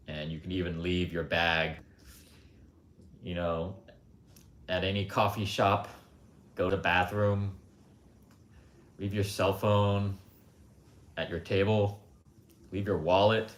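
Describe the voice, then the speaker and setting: A man's voice: deep voice, level tone, slow, long pauses. A man hosting a livestream, talking in a blunt, rambling, opinionated way with lots of asides. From United States. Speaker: livestream host. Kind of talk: casual opinionated monologue. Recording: roomy echo.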